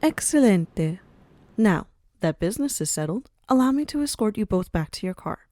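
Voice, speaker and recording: A woman playing a commanding, cultured mafia boss in a scripted audio drama, poised and grandly formal, with sly warmth. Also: medium pitch, very animated, normal speed, no echo, clean studio sound, close to an everyday voice. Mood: pleased, sly. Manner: poised, grandly formal, uses endearments like dearie. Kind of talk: scripted audio roleplay acting.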